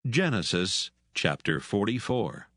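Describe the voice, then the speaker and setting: A man's voice: fairly low pitch, very animated, measured pace, fairly bright tone, dry recording, studio quality. A man narrating solemn scripture as part of a dramatised audio Bible.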